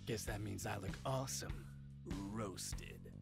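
A cocky cartoon swordsman, drawls deadpan in a low, very creaky voice. He sounds self-important and cool, with music behind him. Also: slow pace, exaggerated voice. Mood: smug bravado. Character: cocky swordsman posing as cool tough guy.

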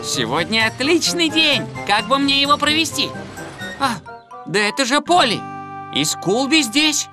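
Cheerful naive cartoon truck, high voice, draws words out slow, music behind. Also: very animated. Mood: cheerful, eager. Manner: exclaiming, drawn-out, naive. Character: cheerful, childish, eager little tow truck. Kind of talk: children's cartoon dub acting.

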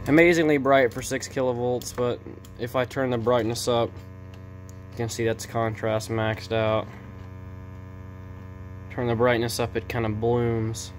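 A man giving a casual, off-the-cuff narration as a hobbyist repairer, speaking informally and haltingly into a handheld camera in an indoor workshop. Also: medium pitch, little pitch movement, slight background noise, poor audio. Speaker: vintage TV repair hobbyist narrating his video.

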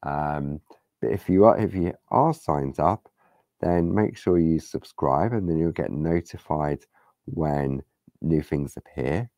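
A man with a low, gravelly, hoarse voice talks in an easy, rambling way while he demonstrates a craft live. His delivery is unhurried, his pitch swings widely, and his tone is warm and mellow. The sound is close and dry. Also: no echo. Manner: relaxed, chatty, rambling. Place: craft studio table. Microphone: overhead camera on hands, speaker unseen.

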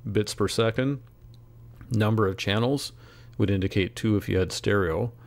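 Low-voiced man narrating a software tutorial. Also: moderate pace, creaky. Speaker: instructor. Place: close, dry voice-over for screen recording.

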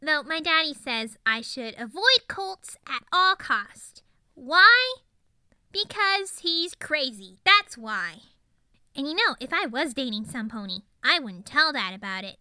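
A woman's voice: sits high, big pitch swings, measured pace, pushed and bright, dry recording, clean studio sound, heavily acted. A woman voicing a zany, mischievous fifteen-year-old girl pony in a cartoon monologue, chatty, rambling and emphatic. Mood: matter-of-fact. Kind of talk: improvised cartoon character monologue.

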